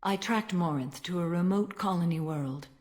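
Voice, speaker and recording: An actress playing a stern, ancient alien warrior-monk in a video game, speaking in a formal, measured and solemn manner. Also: female voice, fairly low pitch, darkish tone, natural voice. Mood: solemn recollection. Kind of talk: dramatic video game dialogue.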